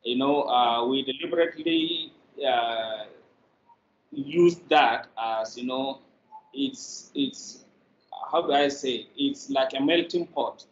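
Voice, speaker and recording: Slow-talking Nigerian man, echoey room. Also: medium pitch. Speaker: interview guest, media producer. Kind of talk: interview answers. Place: small furnished room indoors, video call. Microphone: video call, device mic. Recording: echoey, dull, noisy video call.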